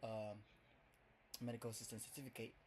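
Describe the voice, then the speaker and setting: A man's voice: on the low side, flat delivery, brisk, a little mellow, a little hiss or hum. Young Ghanaian man chatting casually. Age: young adult. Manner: chatty, rambling, heavy on fillers. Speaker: vlogger. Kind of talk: casual first vlog to camera.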